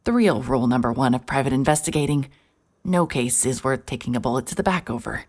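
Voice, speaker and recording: Female PI lead for horror audio drama: very deep voice, hard-hearted, wry, guarded. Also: animated, darkish tone. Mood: wry, watchful. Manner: wry, descriptive, halting when cornered. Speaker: narrator and lead character. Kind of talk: first-person noir narration and audio drama dialogue.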